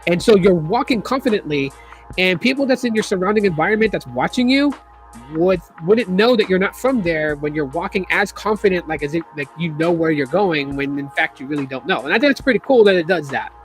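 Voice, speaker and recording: A man's voice: high-pitched, expressive, brisk, soft background music. A man hosting a casual tech video, talking in a rambling way with many fillers and restarts while he is losing his voice.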